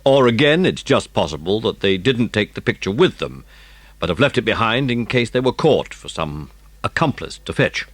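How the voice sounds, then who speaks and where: A man playing a genial, confiding British police chief with dry amusement, measured and authoritative, in an audiobook drama. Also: on the low side, very animated, fairly bright tone, no room sound.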